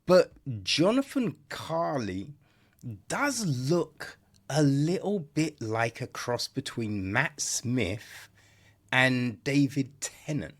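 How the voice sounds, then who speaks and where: Male podcast reviewer, medium pitch, slow delivery; loose, rambling, chummy and off the cuff. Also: sing-song. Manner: rambling, repetitive, peppered with "right?" and "you know"; informal, chummy address, mild swearing. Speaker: podcast host reviewing films. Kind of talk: casual unscripted film review monologue.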